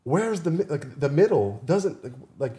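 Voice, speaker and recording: A man's voice: a little high, very animated, normal speed, warm tone. A man talking earnestly in a casual podcast discussion, often repeating phrases for emphasis. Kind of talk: casual conversation.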